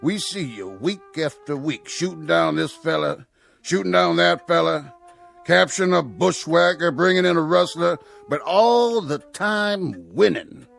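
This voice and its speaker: An actor playing a sly, menacing Old West outlaw in an audio drama, with a gravelly American Western drawl and a folksy, needling way of talking. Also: male voice, a little high, unhurried, a bit bright, heavily acted. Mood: taunting. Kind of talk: radio drama acting. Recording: music under the dialogue.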